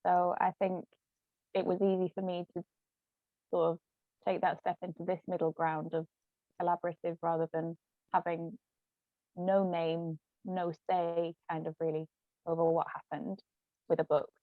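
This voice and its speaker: British female author in casual interview, lowish voice, dry but phone-like audio. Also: flat delivery, moderate pace, pauses often, no room sound. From United Kingdom. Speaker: co-author and ghostwriter being interviewed. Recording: thin phone-like sound.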